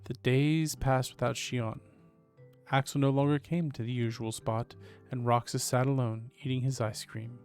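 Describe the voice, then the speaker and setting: A man's voice: mid-range voice, fairly even intonation, moderate pace, darkish tone. A man live streams a video game run. He reads the story text aloud and comments casually as he goes, with game music playing faintly behind his voice.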